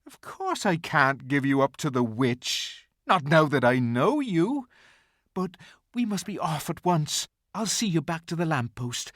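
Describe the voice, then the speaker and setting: Timid gentle male faun for a storybook reading, high, tearful and drawn-out, voiced by the narrator. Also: big pitch swings, dry recording, clean studio sound. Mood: anxious, earnest. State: crying. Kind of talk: audiobook character voice.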